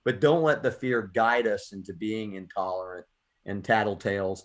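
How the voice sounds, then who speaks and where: A man's voice: fairly low pitch, moderate pace. An American man hosting a poetry radio show, talking casually and earnestly, often repeating words as he thinks aloud. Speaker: poet and radio show host. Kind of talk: casual reflective conversation.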